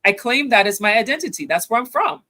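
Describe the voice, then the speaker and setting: A woman's voice: on the high side, big pitch swings, quick pace, bright, forceful tone. A woman with a Canadian accent, born in Ghana and raised in Canada, giving earnest, emphatic commentary on a video call. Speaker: co-host. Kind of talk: news commentary chat.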